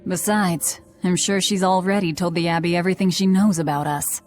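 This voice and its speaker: A grim young outlaw woman voiced by an actress in a video game, low-pitched and cold. She speaks in curt, deadpan phrases with faint music behind. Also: brisk, close to an everyday voice.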